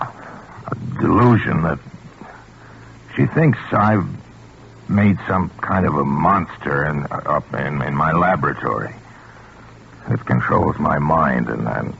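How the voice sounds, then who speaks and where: Gravelly, obsessed scientist in old radio. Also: male voice, rumbling low, heavily acted. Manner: halting, stammering, intense. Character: obsessed male scientist doctor, evasive, scheming husband. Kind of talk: 1940s radio suspense acting. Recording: muffled, noisy 1940s radio recording.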